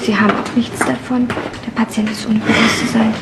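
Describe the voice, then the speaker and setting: An actress playing a strict, officious hospital nurse in a 1970s radio crime play. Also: female voice, on the high side, level tone, moderate pace, close to an everyday voice. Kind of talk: 1970s German radio crime drama acting. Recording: distant, very noisy vintage radio play.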